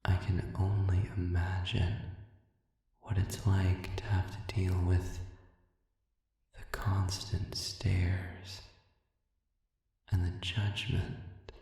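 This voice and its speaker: Cavernous reverb, male actor as sadistic male demon, smug tempter, gravelly fry, deliberate murmur, audio drama. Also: completely flat delivery, warm tone, heavily acted. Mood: silky, insinuating. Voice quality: gravelly, low. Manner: slow, deliberate, breathy murmuring with pauses. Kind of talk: dramatic audio roleplay monologue. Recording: heavily reverberant, distant cavernous sound.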